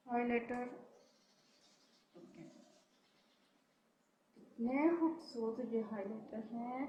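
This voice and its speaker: A woman's voice: a little high, a little mellow, roomy sound, slight background noise, low-quality recording. A woman with a sore throat, chatting casually through a makeup haul as a beauty vlogger. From Pakistan. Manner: chatty, enthusiastic about products. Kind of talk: casual product review.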